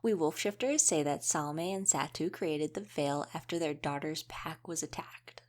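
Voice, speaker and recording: A woman's voice: sits low, moderate pace, darkish tone, natural voice. A woman playing an easygoing young female wolf shifter in a casual audio roleplay, chatting and explaining things informally. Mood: relaxed explaining.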